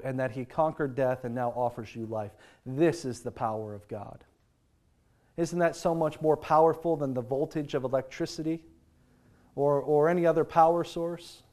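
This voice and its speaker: A man preaching a sermon, sounding congested and nasal from a sinus infection, slowing to stress key phrases. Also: on the high side, darkish tone.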